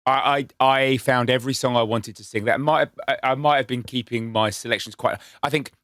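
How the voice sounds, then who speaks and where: A man's voice: mid-range voice, fairly even intonation, fairly quick, a bit bright, dry recording, studio quality. A man co-hosting a casual podcast, telling stories in an enthusiastic, self-mocking way. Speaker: podcast co-host. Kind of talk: casual chat.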